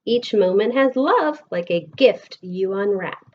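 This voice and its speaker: Woman, American accent, children's read-aloud, slow deliberate delivery, mid-pitched voice, dull lo-fi sound. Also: expressive, a little mellow. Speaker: elementary school teacher reading aloud.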